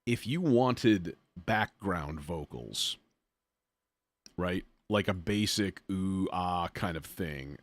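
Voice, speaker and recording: A man with a low voice talks through a music software demo on a livestream. He is informal and a bit self-deprecating, often muttering to himself as he works things out. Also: expressive, slow, no echo. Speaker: livestream host, music producer demonstrating software. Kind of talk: casual livestream demo, thinking aloud.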